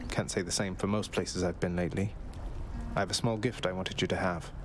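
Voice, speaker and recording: Man as a deep-voiced wry prince. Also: fairly even intonation, brisk, over music, natural voice. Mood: courteous, grateful. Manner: courteous, wry. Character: Zagreus, polite, wry young underworld prince. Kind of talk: video game voice acting.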